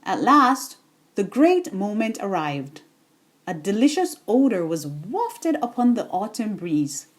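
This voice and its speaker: A woman reading a children's bedtime story in an expressive, deliberate storytelling manner. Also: mid-range voice.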